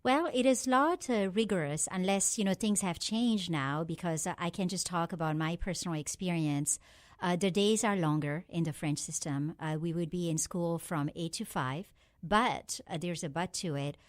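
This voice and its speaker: A woman from Pakistan answering questions on a radio show in a slightly low voice. She tells her story in a measured way, pausing with frequent fillers. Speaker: guest. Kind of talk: interview answers.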